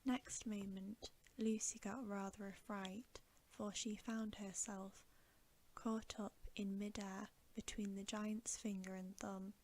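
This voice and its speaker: A woman narrates a bedtime storybook for ASMR. Her whisper is soft and breathy, very slow, mellow and even in pitch. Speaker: ASMR reader.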